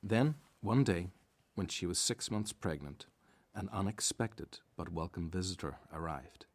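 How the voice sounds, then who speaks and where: A man with a very deep voice narrates a radio play. His delivery is scripted storytelling, recorded very dry without room sound. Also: expressive, a little slow, dry recording.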